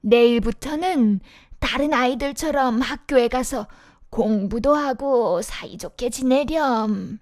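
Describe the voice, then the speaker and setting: A woman voicing a poor, kindly old puppet-maker in a storybook, speaking slowly and fatherly. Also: on the high side, dry recording, clean studio sound. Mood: tender. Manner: slow, gentle, fatherly. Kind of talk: storybook voice acting.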